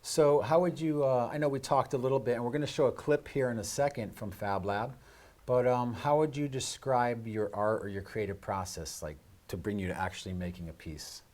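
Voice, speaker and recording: A man hosting a casual live interview, speaking in a halting, loosely improvised way. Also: medium pitch, darkish tone. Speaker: show host.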